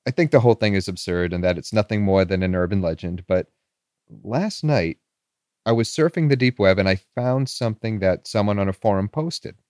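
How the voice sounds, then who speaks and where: A man's voice: on the low side, fairly quick, a little mellow, no room sound, clean studio sound, lightly acted. A man playing a skeptical internet forum poster in a horror audio drama, casual and dismissive. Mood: skeptical, uneasy.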